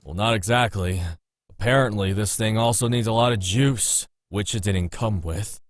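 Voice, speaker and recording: Man acting a low-voiced, blunt, gruff game tough guy. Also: normal speed, lightly acted. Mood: cocky, casual. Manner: blunt, swearing, cocky. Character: gruff, stubborn, smoking man, blunt but caring. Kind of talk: visual novel voice acting.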